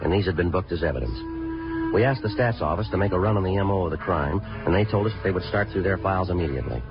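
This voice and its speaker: An actor playing a terse, matter-of-fact American homicide detective who narrates a 1950s radio crime drama in a clipped, deadpan way. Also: male voice, sits low, fast. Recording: dull vintage radio recording with music.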